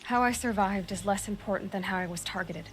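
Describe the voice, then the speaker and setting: A woman doing a resolute young huntress who speaks in short, firm sentences and never backs down. Her voice sits in a middle range for a woman and stays steady and commanding. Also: medium pitch, brisk, faint music behind, close to an everyday voice. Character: determined young woman hunter seeking answers. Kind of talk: video game cutscene acting.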